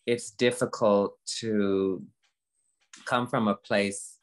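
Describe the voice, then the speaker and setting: A woman in her fifties talking candidly as a guest, earnest and halting, often repeating phrases for emphasis. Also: very deep voice, steady tone, rough recording. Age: 50s. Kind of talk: candid conversation, motivational talk.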